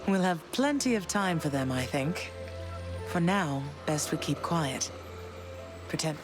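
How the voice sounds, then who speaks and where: An actress voicing a composed, gentle goddess mother in a video game, tender yet resolute, with a measured manner. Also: sits low, expressive. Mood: reflective. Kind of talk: video game character acting. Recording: clear music behind the voice.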